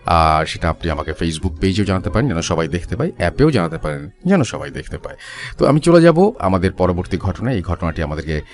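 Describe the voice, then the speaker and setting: A man's voice: sits low, sing-song, fast talker. A man presenting a show as host in a casual, chatty, informal way, mixing English words into his talk.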